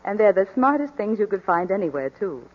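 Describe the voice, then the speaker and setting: Animated woman reporter, vintage radio. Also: medium pitch, fairly quick. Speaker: fashion reporter in radio advertisement. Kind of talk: 1940s scripted radio advertising chat. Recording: muffled 1940s radio broadcast.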